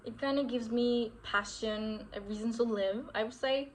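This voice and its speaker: A woman's voice: on the high side, fairly even intonation, moderate pace, a little hiss or hum, poor audio. A young woman from Turkey with a Turkish accent, talking casually to camera in a vlog and often correcting herself with "I would say". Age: young adult. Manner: chatty, self-correcting, frequent "I would say". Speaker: author vlogger.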